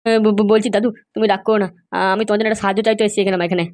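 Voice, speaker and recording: A woman's voice: mid-range voice, level tone, racing, a little mellow, close to an everyday voice. A woman voicing a brash young male suitor in a comedy cartoon. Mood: nervous, pleading. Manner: blustering, pleading. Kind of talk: amateur comedy cartoon dub.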